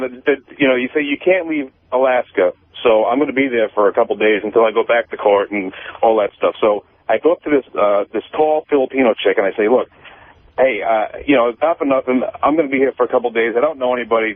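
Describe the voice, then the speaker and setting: A man's voice: medium pitch, fast. Male caller, tinny telephone sound, loose rambling storyteller, repeats himself, swears freely. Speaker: radio show caller. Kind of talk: casual personal storytelling. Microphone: phone. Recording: tinny phone line.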